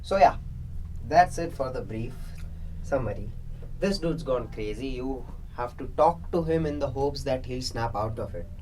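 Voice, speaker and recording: A man's voice: medium pitch, expressive, normal speed, darkish tone, close to an everyday voice. A man playing a flippant, sweary lab assistant in a radio play, talking in a casual, dismissive way. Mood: irreverent griping. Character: crude, flippant lab assistant briefing experiment volunteers. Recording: distant mic, faint background noise.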